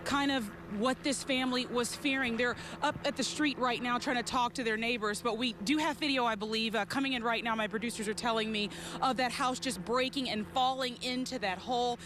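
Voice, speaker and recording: A woman's voice: fairly high pitch, fairly even intonation, brisk. A woman field reporter delivering urgent, ad-libbed breaking news live from an outdoor street. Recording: clear outdoor street and vehicle noise. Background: helicopters and vehicles.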